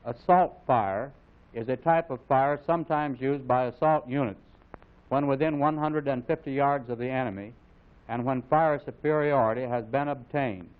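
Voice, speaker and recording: A man with an American accent narrates an old military training film. His delivery is formal and authoritative, reading each instruction in a measured, scripted way. The sound is very narrow and tinny, like a vintage film soundtrack, with his voice at an ordinary male pitch.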